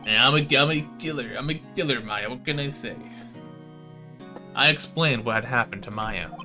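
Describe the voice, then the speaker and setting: A man's voice: mid-range voice, normal speed, bright, forceful tone, soft background music. A man voicing a beleaguered young lawyer in a game, wry and brooding. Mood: resigned. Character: beleaguered young male lawyer, dry and weary.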